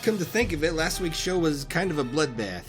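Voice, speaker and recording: Actor as defensive writer, lively pitch. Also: male voice, brisk, music in the background. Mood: glib, sheepish. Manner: glib, defensive, conversational. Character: rattled, defensive male comedy-drama writer, stubborn and glib. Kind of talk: audio drama acting.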